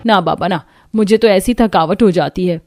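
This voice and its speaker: A woman's voice: fairly low pitch, moderate pace, darkish tone, no echo, clean studio sound, faint music behind, natural voice. A woman voicing a snobbish, lazy rich young daughter-in-law in a cartoon, showy, whiny and taunting.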